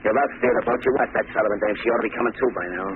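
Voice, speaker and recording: A man's voice: medium pitch, fairly even intonation, rapid-fire, natural voice. An actor playing a bossy, impatient American gangster henchman in 1930s radio drama, with clipped, slangy tough-guy talk. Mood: bossy, threatening. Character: hard-boiled male gangster henchman, bossy, impatient, suspicious. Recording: tinny, noisy 1930s radio recording.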